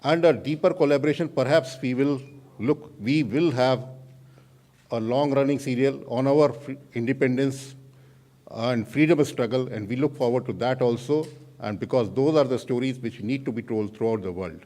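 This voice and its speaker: A man with an Indian accent speaks at a formal gathering in a mid-range voice. He delivers his welcome address haltingly, correcting himself as he goes, in the semi-formal manner of an official. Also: mid-range voice, warm tone. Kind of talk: semi-formal public speech.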